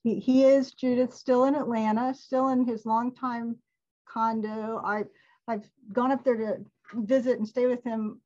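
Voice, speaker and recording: A woman's voice: a little high, flat delivery, a little slow, a little mellow, muffled recording. A woman giving a casual webinar talk on a video call, chatty and laughing often. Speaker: alumni event presenter.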